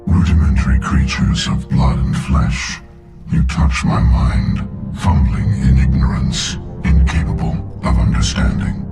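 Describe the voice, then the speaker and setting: A man voicing a vast, ancient, contemptuous machine intelligence in a video game, grandiose and condescending, with a synthetic processed rumble. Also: little pitch movement, measured pace, full character voice. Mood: cold contempt. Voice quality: booming, gravelly, synthetic rumble. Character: vast ancient sentient machine warship, contemptuous, menacing, godlike. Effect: deep reverberant machine processing. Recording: echoing processed voice under loud ominous music.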